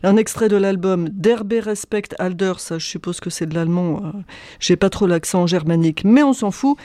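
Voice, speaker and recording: A woman's voice: lowish voice, normal speed, warm tone, no room sound, clean studio sound. A woman giving a playful radio music column, chatty and self-mocking, her throat a little congested.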